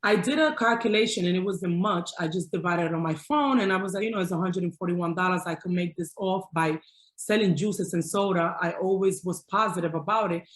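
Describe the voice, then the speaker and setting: A woman's voice: lowish voice, fairly quick. A middle-aged woman chef earnestly telling her life story in an upbeat way over a video call. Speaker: chef guest. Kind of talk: personal story in an interview. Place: home room. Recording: roomy video call audio.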